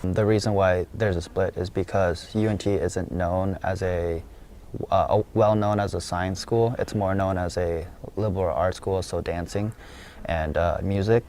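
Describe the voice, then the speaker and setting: A male student with a lowish voice answers questions hesitantly, pausing on fillers. Faint noise hums behind him. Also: steady tone, darkish tone. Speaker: psychology student. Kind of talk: interview answer.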